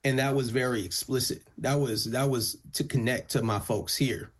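A man's voice: medium pitch, moderate pace. A male musician whose first language is Spanish, answering interview questions thoughtfully, often restarting his phrases. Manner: thoughtful, frequent restarts and fillers. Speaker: musician guest.

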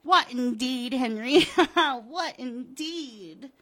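A woman voicing a drunken, sneering, vitriolic wife from a horror comic, with mocking, gleeful jabs and shrill outbursts. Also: animated, unhurried, a bit bright. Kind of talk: dramatic comic-book reading.

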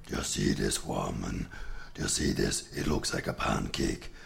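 A man's voice: rumbling low, expressive, normal speed, creaky, a bit bright, voice pushed down, exaggerated voice. A man voicing a boastful, greedy male giant, blustering and growling in a told folktale. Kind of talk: storytelling performance.

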